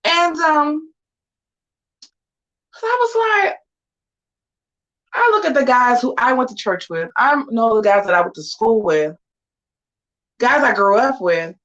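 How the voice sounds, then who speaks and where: A woman's voice: on the high side, big pitch swings, measured pace, long pauses, fairly bright tone, lo-fi sound. Sassy, chatty woman in her forties. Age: 40s. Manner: chatty, sassy, slangy asides. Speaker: vlogger. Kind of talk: casual get-ready-with-me chat. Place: at home getting ready.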